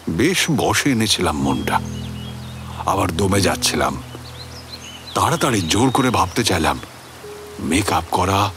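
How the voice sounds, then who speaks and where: A man's voice: fairly low pitch, fairly bright tone. An actor playing an obsessed middle-aged family man who recounts a haunted pursuit in a theatrical, intense suspense narration. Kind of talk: dramatic first-person audio story narration.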